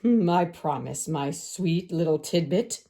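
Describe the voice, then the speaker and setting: A devious storybook fox, acted out by a woman. He croons and coaxes in drawn-out, falsely sweet phrases. The pitch slides around expressively, sly all the way. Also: lively intonation, dark tone.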